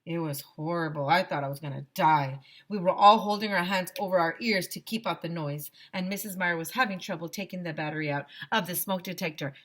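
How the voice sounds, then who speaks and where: A woman teacher reading a children's chapter book aloud in an expressive, animated storytelling style. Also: fairly low pitch, brisk, hardly any pauses. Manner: expressive, dramatizes the dialogue.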